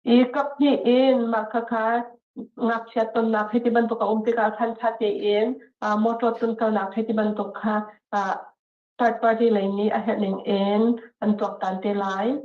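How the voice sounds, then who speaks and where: A woman's voice: a little high, flat delivery, moderate pace. Woman interpreter on a tinny echoey phone line. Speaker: court interpreter. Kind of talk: interpreting court orders. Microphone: phone call.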